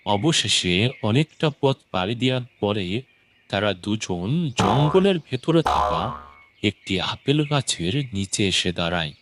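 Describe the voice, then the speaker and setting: A man's voice: lowish voice, noisy background. A man narrating a scripted children's story as a storyteller.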